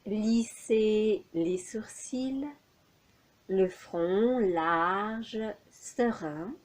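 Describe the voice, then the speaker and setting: Very slow, mellow woman guiding relaxation. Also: mid-range voice. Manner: calm, measured, soothing instructions with long pauses. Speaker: yoga nidra instructor. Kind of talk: guided relaxation meditation.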